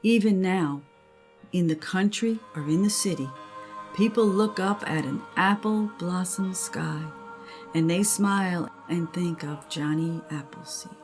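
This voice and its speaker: Slow, low-voiced older woman reading a storybook. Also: over music. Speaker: storybook reader, grandmother.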